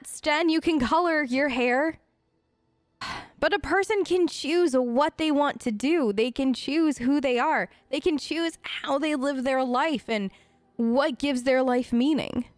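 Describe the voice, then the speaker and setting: A woman improvising in-character role-play chat for a let's play, casual and halting. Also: high-pitched, no echo. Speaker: let's player role-playing game dialogue aloud.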